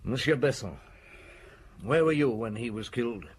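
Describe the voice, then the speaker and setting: A man's voice: mid-range voice, expressive, normal speed. A man playing a stern, relentless chief inspector in an audio drama, blunt and commanding as he accuses. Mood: blunt, accusing. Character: stern, relentless male police chief inspector, menacing and sure. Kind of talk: audio drama acting, interrogation and narration. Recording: clearly noisy drama recording.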